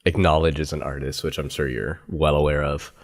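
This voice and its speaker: A man's voice: extremely low pitch, steady tone, a little fast. A man hosting an interview podcast, giving many short affirmations and chatting casually with his guest. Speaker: podcast host.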